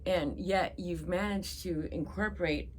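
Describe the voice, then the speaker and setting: Woman host with a very low voice, curious and friendly, faint hiss. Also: a little slow. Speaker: podcast host. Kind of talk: casual interview questions.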